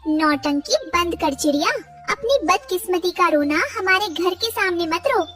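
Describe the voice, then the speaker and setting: Woman voicing a high-pitched, haughty rich wife bird. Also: measured pace, recorded from a distance, over loud music. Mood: threatening. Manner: contemptuous, bossy.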